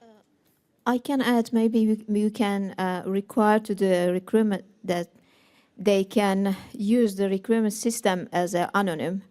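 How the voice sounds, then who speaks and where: Female panelist, mellow warm tone, hesitant delivery, non-native speaker. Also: mid-range voice, steady tone, a little slow. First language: not Finnish. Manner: hesitant, searching for words.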